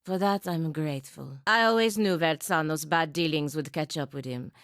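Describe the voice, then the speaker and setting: A woman's voice: deep voice, normal speed, fairly bright tone, no room sound. An actress playing a stern, dutiful and proud paladin woman in a video game, with a dry, sardonic and commanding manner. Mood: grim, resigned. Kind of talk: video game character dialogue.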